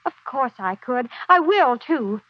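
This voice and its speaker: An actress playing a chirpy young housewife musing to herself in a 1940s radio advertisement, perky and confiding. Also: female voice, high-pitched, lively intonation, unhurried, dry recording. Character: chirpy, self-appraising young housewife at her mirror. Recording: dull, dry 1940s radio broadcast.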